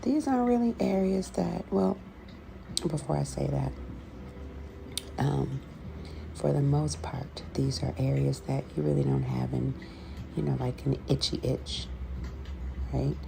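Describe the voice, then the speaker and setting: A woman with a gravelly, creaky voice giving a halting, conversational explanatory voiceover as the host of an ASMR video. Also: rumbling low. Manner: unhurried, conversational, halting with pauses. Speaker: host, scratch therapy practitioner. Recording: clearly audible background noise.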